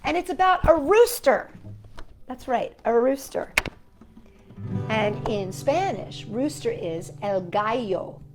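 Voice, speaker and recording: A woman's voice: on the high side, animated, slow pace, faint music behind. A woman, a native English speaker, hosting a chatty, playful storytime for young children, often asking little questions of her listeners. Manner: playful, talks to companion and young viewers with tag questions.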